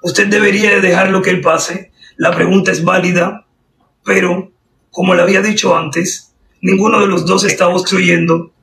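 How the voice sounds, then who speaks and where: Casting: Cuban woman, gravelly, straight-faced prank caller acting official, recorded in a roomy space. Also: fairly low pitch, normal speed. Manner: deadpan, official-sounding. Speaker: prank caller posing as driving examiner. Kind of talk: prank phone call, improvised quizzing. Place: indoors at a desk. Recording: roomy, distant sound with faint noise.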